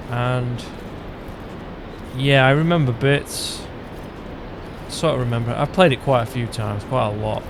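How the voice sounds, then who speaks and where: A man with a mid-pitched, creaky voice commentates casually on a game, thinking aloud in a loose way. Game ambience runs clearly behind him. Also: noisy background. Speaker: gaming video host.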